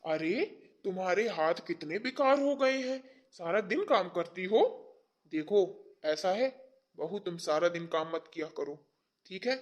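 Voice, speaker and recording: A man acting a blunt, bright-voiced father-in-law. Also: extremely high pitch, moderate pace, muffled recording. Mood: soft, coaxing. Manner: blunt, colloquial. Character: irritable father-in-law, gruff with wife, sweet on daughter-in-law.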